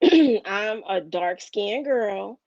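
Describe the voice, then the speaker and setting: A woman hosts a casual discussion and speaks in a hurried way, turning to address her listeners directly. The sound is dull and dry, with no room around it. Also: mid-range voice, expressive, a little mellow, no echo. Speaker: podcast host.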